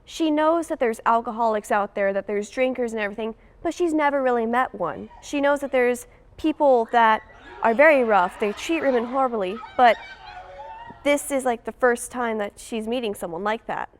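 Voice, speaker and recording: A woman's voice: on the high side, moderate pace, a little hiss or hum. A teenage female student actor giving a casual explanation in an interview. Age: teens, high school student.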